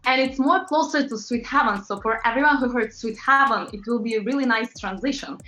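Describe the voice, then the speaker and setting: A woman's voice: on the high side, brisk, sharp tone. A woman from Latvia, a pop singer, chatting as an interview guest, with frequent fillers and laughs. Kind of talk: casual interview chat. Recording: roomy, dull lo-fi sound with faint music.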